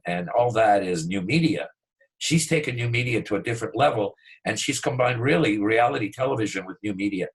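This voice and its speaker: A man hosting an interview show, giving an expansive, flattering introduction and often repeating himself. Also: lowish voice, low-quality recording. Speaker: talk show host. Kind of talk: casual, effusive interview introduction.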